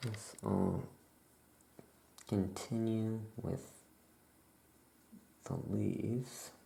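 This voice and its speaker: A soft-spoken ASMR performer playing a laid-back witch shopkeeper, musing aloud in an unhurried, creaky voice. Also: mid-range voice, level tone. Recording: faint background noise.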